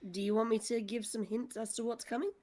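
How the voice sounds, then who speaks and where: A woman's voice: a little high, fairly even intonation, fast, mellow. A woman speaking casually in a panel discussion as a writer and director, with a wry, self-deprecating manner. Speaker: audio drama scriptwriter and director.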